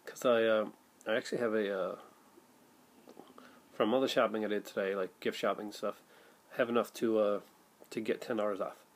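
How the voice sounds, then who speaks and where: Rambling middle-aged man, low-pitched. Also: fairly even intonation, moderate pace, pauses often. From Canada. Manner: rambling, many fillers and restarts. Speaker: vlogger, film collector. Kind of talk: casual chat to camera. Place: home room with shelves of films, indoors. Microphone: built-in webcam mic at desk.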